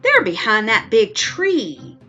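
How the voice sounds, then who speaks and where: A woman's voice: on the high side, huge pitch swings, slow pace, bright, forceful tone, lo-fi sound, soft background music, full character voice. A woman voicing a cheeky female sheep in a children's storybook, with a sing-song manner. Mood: sweetly polite.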